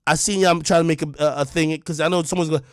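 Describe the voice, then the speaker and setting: A young man rants hard and confrontationally, hammering the same points over and over. His voice sits a touch high, and the sound is dry and close with no room around it. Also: fairly even intonation, fast, smooth, no echo. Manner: confrontational, heated, repeats points. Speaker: online media personality. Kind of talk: direct-to-camera rant.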